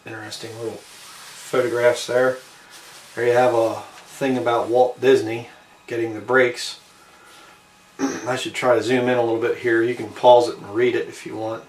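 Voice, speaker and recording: A man giving a casual show-and-tell narration, unhurried and halting, often trailing off between short phrases. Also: medium pitch, flat delivery. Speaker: amateur video maker showing a collectible. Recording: roomy, distant mic with faint background noise.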